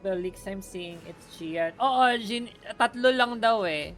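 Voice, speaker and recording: A woman's voice: mid-range voice, measured pace, fairly bright tone, faint music behind. A woman with a Filipino accent chats casually on a game livestream, playful and blunt. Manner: chatty, playful, blunt with swearing. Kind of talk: casual livestream chat, mixing English and Tagalog.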